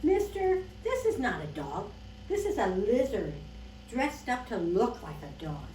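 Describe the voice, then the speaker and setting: A woman's voice: mid-range voice, big pitch swings, takes it slowly, a little mellow, roomy sound, distant mic, a little hiss or hum, rough recording. A woman voicing a stubborn, plain-spoken little girl in a storybook reading, firm and insistent.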